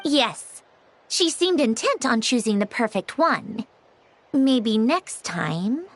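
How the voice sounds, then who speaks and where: High, lively, courteous young woman. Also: slow, slight background noise, exaggerated voice. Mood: pleasant. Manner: courteous, slightly formal. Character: polite, earnest, formal young woman. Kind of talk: video game voice acting.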